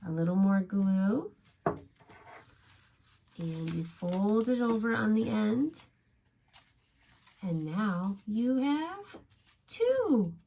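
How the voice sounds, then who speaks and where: A woman's voice: mid-range voice, very animated, drawn-out words, vocal fry, pauses often, dark tone. A woman teaching a craft step by step to young children, speaking as a preschool teacher in simple, encouraging phrases.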